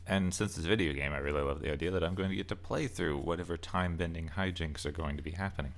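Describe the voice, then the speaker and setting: A man's voice: deep voice, quick pace. A man giving casual, opinionated commentary as a game reviewer, rambling a little and stressing key words. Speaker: video essayist and game reviewer. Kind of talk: casual loosely scripted commentary.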